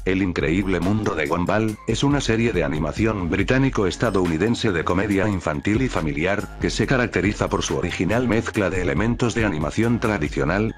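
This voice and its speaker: Low-voiced man narrating over music. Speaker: narrator of a top list video. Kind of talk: scripted narration. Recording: music behind the voice. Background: background music.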